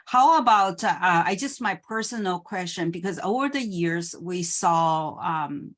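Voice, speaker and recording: A woman moderating a session, asking questions haltingly with many fillers. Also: on the low side, expressive.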